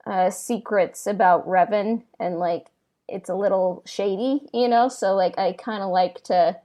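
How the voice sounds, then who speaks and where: A woman's voice: medium pitch, darkish tone. A woman hosting a podcast in casual chat, speaking a little hesitantly with lots of filler words. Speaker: podcast host.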